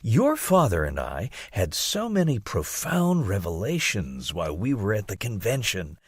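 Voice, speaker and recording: A man's voice: lowish voice, huge pitch swings, unhurried, slightly rough, no room sound, clean studio sound, voice pushed down, heavily acted. A man voicing an earnest, gushing, gullible mother who explains enthusiastically in an audiobook. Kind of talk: acted audiobook dialogue.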